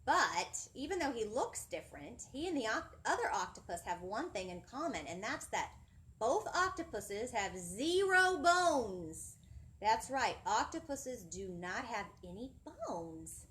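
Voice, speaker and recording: A woman in her thirties presenting a children's storytime, talking in an animated, singsong way and putting questions to young listeners, recorded indoors on a camera mic at some distance. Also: fairly high pitch, a little slow. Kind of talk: children's storytime teaching, addressing young viewers. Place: indoor library children's area, seated.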